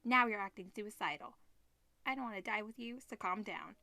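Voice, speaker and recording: A woman's voice: mid-range voice, a little fast, gravelly, pushed and bright, no echo. A woman reading the part of a blunt, cautious male classmate who scolds in a visual novel. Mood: scolding.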